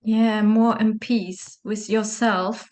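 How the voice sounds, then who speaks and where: A woman's voice: medium pitch, flat delivery, slow pace, darkish tone. A woman interviewing a guest, speaking thoughtfully and searching for her words. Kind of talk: reflective conversational interview.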